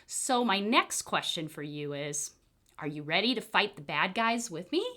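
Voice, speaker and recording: A woman's voice: on the low side, expressive, normal speed, pushed and bright. A woman voicing a confident, reassuring female monkey doctor in a storybook, explaining things simply to a child. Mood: earnest, encouraging.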